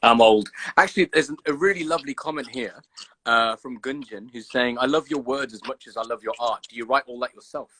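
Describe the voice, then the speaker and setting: A man hosting a casual live interview, cheeky, excitable and quick to swear. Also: medium pitch. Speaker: host.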